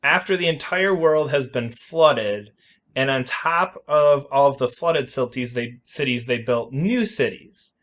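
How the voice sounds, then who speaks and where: Male podcast host, mid-pitched, chatty and informal, talks through explanations with casual emphasis. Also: a little slow, a bit bright. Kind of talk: casual video game review chat.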